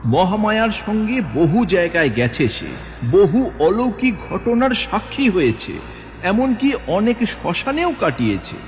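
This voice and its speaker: A man narrating a horror audio story in a slow, dramatic manner. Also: a little high. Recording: long reverb with faint music.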